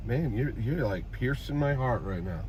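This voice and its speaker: A man's voice: mid-range voice, lively intonation, moderate pace, a little mellow, lightly acted. A man playing an anxious, awkward driver stuck at a traffic light, talking in a rambling, nervous, overly earnest way. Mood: awkwardly sincere. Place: car.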